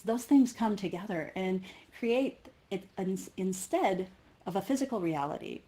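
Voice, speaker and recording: A woman delivers a conference keynote in a voice that sits a little low. She speaks as if thinking aloud, halting and rewording her points, and mixes explanation with personal storytelling. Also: darkish tone.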